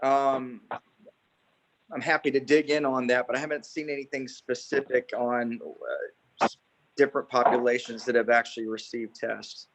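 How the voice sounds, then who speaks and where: A man giving a matter-of-fact, measured briefing as a city official on a conference call. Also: mid-range voice, fairly even intonation, slight background noise, low-quality recording. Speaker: city emergency management official. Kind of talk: spoken briefing to a council meeting.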